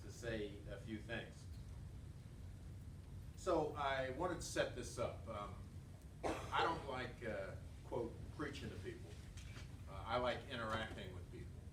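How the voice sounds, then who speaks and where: Older male guest speaker, mid-pitched big voice, conversational and playful, recorded in an echoing room. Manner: conversational, deliberate, playful, engaging the audience. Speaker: guest speaker, business executive. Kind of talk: informal talk to students. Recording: echoey noisy room, distant mic.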